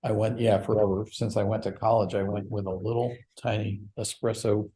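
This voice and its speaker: A man's voice: lowish voice, little pitch movement, a little fast, breathy edge, rough recording. A middle-aged man co-hosting a casual podcast, rambling with frequent fillers and laughs. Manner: rambling, warm, laughs between words. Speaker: podcast co-host.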